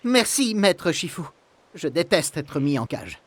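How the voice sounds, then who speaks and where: Earnest martial arts pupil, game character, respectful, slightly high voice, slow delivery. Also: male voice, sing-song, slight background noise. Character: earnest, respectful male kung fu student.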